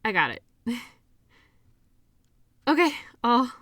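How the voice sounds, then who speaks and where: A woman playing a caring, shy doctor in an intimate audio roleplay, talking softly and hesitantly in a conversational way. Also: a little high, sing-song, slow, dry recording, clean studio sound, exaggerated voice. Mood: flustered, shy. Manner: soft, hesitant, giggly, conversational.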